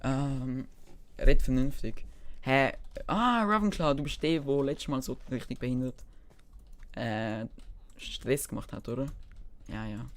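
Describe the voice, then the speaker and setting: A young man with a Swiss German accent, chatting casually on a gaming livestream and mixing in English gamer slang, with a medium-pitched voice. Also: little pitch movement, measured pace. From German-speaking Switzerland. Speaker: live streamer. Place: indoors at a computer, keyboard typing behind.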